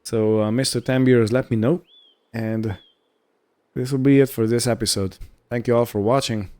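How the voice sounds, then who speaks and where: A man hosting a roleplay gaming series, narrating his play in character in a casual, improvised way with halting short phrases. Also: medium pitch, fairly even intonation, mellow.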